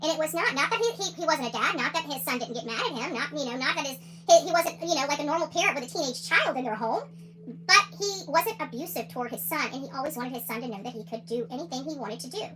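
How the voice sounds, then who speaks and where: A woman's voice: high voice, lively intonation, fast talker, a crowd in the background, low-quality recording. A woman hosting a livestream, giving opinionated commentary in a combative, indignant and emphatic way, often repeating phrases for emphasis. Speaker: livestream host.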